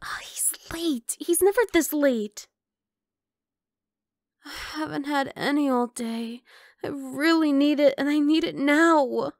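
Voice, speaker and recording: Woman acting a high-voiced, teasing vampire girl. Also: takes it slowly, no room sound, clean studio sound. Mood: impatient, craving. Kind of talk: ASMR roleplay.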